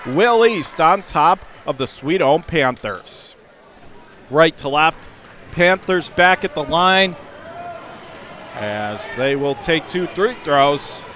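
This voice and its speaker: High-pitched American man calling basketball. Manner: excitable, clipped running call of the action. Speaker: radio play-by-play commentator. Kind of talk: live high school basketball play-by-play commentary. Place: courtside at a school gymnasium. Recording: gym crowd noise, faint background hiss. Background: crowd and bouncing basketball.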